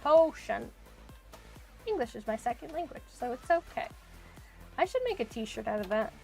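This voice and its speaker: Chatty woman streamer, slightly high voice. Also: big pitch swings, moderate pace, vocal fry, dark tone, faint music behind. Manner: chatty, thinks aloud, rambling with many "like"s. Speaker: game streamer. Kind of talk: casual live-stream chat while playing.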